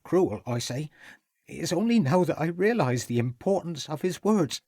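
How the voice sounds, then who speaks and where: A man's voice: medium pitch, fairly quick, a little mellow, husky, dry recording, studio quality, close to an everyday voice. A man putting on a fretful tearful female cook. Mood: distressed. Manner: anxious, quavering, stammering pleas.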